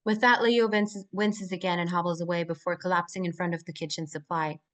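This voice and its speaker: Low-pitched woman reading stage directions. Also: steady tone, fairly quick, fairly bright tone. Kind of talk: scripted narration of screenplay directions.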